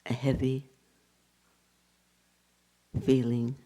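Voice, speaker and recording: A man leading a guided meditation as a spiritual teacher, speaking in short phrases with long pauses and hushed endings. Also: medium pitch, completely flat delivery.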